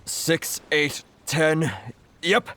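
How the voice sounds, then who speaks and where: A man's voice: a little high, extremely slow, pushed and bright, exaggerated voice. A man playing a nervous, grateful and humble merchant in an anime-style video game, deferential and slightly stammering. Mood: humble, flustered. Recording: music behind the voice.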